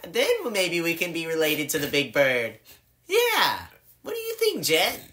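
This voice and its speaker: A man's voice: fairly high pitch, wildly swooping pitch, measured pace, sharp tone, exaggerated voice. A man voicing a bratty female swallow racer in a comedic fan dub, teasing and whiny as she bickers. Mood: bickering.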